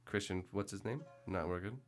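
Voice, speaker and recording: A man's voice: deep voice, moderate pace, faint music behind. A man co-hosting a casual chat about a TV episode, joking and swearing. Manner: sarcastic, swearing, jokey. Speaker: podcast co-host. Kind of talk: casual chat watching a show.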